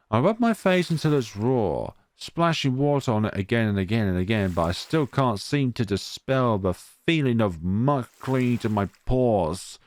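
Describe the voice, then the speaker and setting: A man reading a visual novel aloud in a theatrical, expressive way, mixing dramatic narration with casual commentary. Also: mid-range voice, clear, resonant tone, no room sound, clean studio sound. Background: game music behind the voice.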